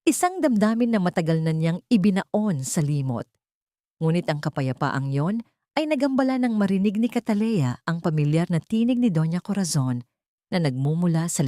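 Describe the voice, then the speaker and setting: A Filipino woman narrates a scripted drama in a fairly low voice. The recording is dry and close, with very little room sound. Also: darkish tone, no room sound.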